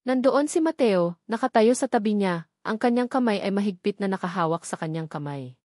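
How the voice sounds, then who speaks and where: A woman's voice: medium pitch, expressive, brisk, darkish tone, dry recording, studio quality. A woman reading a scripted, dramatic love story as its narrator.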